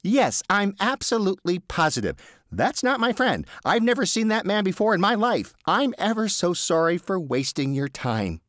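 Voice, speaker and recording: A man's voice: high-pitched, very animated, moderate pace, a bit bright, no room sound, pitched above the performer's own voice. A man voicing a nervous, evasive man who fumbles and is overly polite while bluffing. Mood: flustered.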